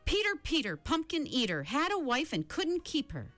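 A woman reading an audiobook, voicing a defiant small boy who shouts nursery rhymes. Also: lowish voice, huge pitch swings, unhurried, no echo, faint music behind.

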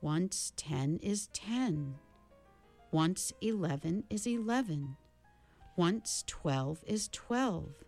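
Villain for a storybook: smooth, mocking man, drawn-out chanting delivery, lively rises and falls, as a woman narrator puts him on. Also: lowish voice, soft background music. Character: sinister bland man on a chair, mocking.

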